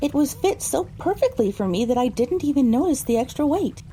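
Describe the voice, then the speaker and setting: A woman playing a pony who narrates her own adventure in a measured, descriptive storytelling style. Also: sits high, very animated, mellow, a little hiss or hum, low-quality recording. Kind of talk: scripted first-person story narration.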